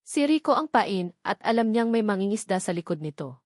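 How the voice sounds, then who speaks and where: A woman's voice: medium pitch, lively intonation, a little fast, no echo, clean studio sound. A woman reading a scripted dramatic story as its narrator.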